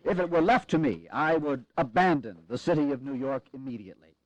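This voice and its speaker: A man playing a pragmatic, weary army commander in a historical audio drama, speaking in a measured, grave and formal way with wry resolve. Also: mid-range voice, animated, dry recording, natural voice, poor audio.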